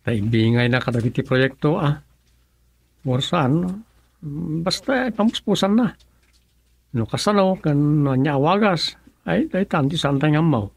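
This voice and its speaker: A man acting an opinionated townsman in a radio comedy drama, with an Ilocano accent and a gravelly, animated, colloquial delivery. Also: on the high side, unhurried, pauses often, no echo, exaggerated voice.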